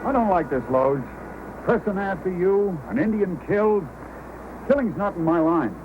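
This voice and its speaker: A fairly high, very animated voice: an uneasy, grumbling crooked fur buyer. It is old radio serial acting, wary and reluctant, with faint noise on the recording. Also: male voice, moderate pace, phone-quality sound. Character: uneasy, reluctant male buyer of stolen furs.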